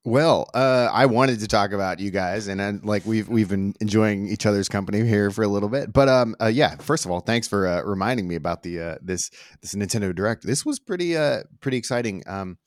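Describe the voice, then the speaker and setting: A man chatting casually as a podcast guest, hesitant and halting, with some swearing. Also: lowish voice, no echo, clean studio sound. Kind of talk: casual chat.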